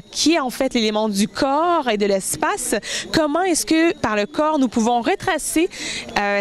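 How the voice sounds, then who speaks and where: Woman, choreographer, earnest interview talk, slightly high voice, hesitant pauses. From Canada (Montreal, Quebec). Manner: earnest, measured, with frequent hesitations. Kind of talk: interview reflection.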